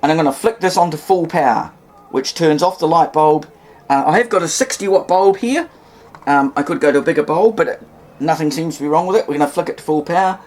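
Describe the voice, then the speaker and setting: A man's voice: on the high side, fairly quick, a little mellow. A man with a New Zealand accent giving a casual, unscripted hands-on demonstration at home, hesitant, folksy and wry. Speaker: hobbyist presenter demonstrating vintage radios. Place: indoors in a cluttered home room.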